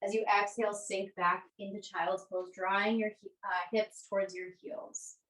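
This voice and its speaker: A woman teaching a yoga class, giving gentle, unhurried guided instructions. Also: mid-range voice, little pitch movement. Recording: echoey room, distant microphone.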